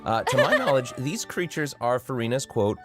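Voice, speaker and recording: A man's voice: mid-range voice, big pitch swings, moderate pace. A man presenting a game showcase with scripted banter, music playing behind him.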